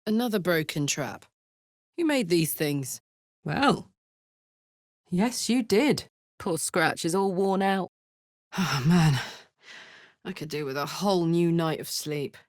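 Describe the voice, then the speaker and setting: A woman's voice: on the low side, expressive, slow pace, pauses often, no room sound, clean studio sound. A woman voicing a brash, big-hearted, battle-hardened warrior woman in a video game, blunt and slangy. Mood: wry, easygoing. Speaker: video game character voice actor. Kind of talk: video game character voice lines.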